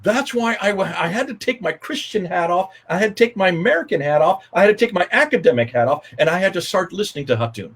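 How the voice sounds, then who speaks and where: A man's voice: high-pitched, lively intonation, fast, few pauses, fairly bright tone, slightly rough. An older man on a video call from his home study, telling a story emphatically as a guest apologist in a panel talk. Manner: emphatic, repetitive, gesturing a lot. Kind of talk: animated storytelling in a panel discussion. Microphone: video call webcam.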